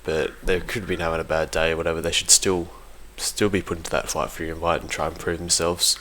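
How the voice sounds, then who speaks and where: A man with a low, fairly monotone voice talks off the cuff like a competitive gamer sharing opinions. His tone has a bright, forward edge. He is informal and hesitant, rewording as he goes, over some background noise. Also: fast. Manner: hesitant, informal, self-correcting. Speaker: competitive gaming team member giving an audio log. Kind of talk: casual unscripted commentary.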